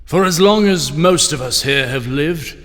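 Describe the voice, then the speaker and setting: A man's voice: fairly high pitch, lively intonation, unhurried, a bit bright. An actor playing a grand middle-aged king who gives a ceremonious speech to a banquet hall. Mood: solemn. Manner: ceremonious, rousing oratory. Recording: long hall reverb with crowd murmur.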